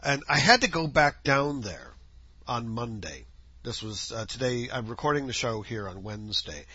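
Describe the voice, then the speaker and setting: A man's voice: mid-range voice, very animated, moderate pace, bright, forceful tone, muffled recording. A man hosting his own podcast, chatting casually and rambling, his voice scratchy and a little worn from breathing dust. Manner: rambling, chatty, self-correcting. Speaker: podcast host. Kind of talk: casual solo podcast chat. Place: home, outdoor noises faintly heard.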